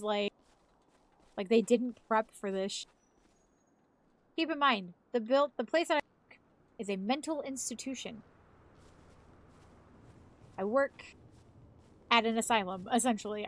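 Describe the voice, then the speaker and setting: A woman in her thirties with a fairly high voice talks in a loose, rambling way. She swears casually and keeps her tone chatty, like a streamer talking to friends. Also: slight background noise. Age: 30s. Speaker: live streamer. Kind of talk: casual chat.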